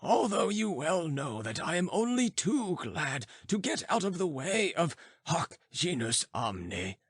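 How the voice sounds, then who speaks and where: Man acting an irritable old philosopher, high and declamatory. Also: big pitch swings, a little slow, breathy edge, no room sound, exaggerated voice. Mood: reproachful, lofty. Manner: declamatory, indignant, formal. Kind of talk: audiobook character dialogue.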